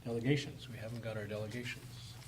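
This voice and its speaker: A man with a hoarse, raspy voice chairing a formal council meeting, calling motions briskly in a council chamber. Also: fairly low pitch, flat delivery. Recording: noisy room recording.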